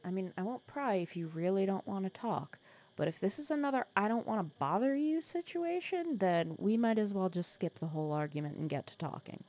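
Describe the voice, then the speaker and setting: A woman playing a wry, protective supervillain nemesis who talks softly and teasingly in a comforting ASMR roleplay audio drama. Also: medium pitch, expressive, a little fast, lo-fi sound, close to an everyday voice. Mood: soothing, concerned. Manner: soft-spoken, teasing, conversational with hesitations.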